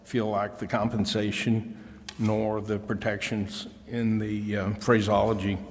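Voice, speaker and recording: A man speaking as a council member in formal debate, with halting, deliberate phrasing. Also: fairly low pitch, little pitch movement. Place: council chamber. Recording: echoey hall.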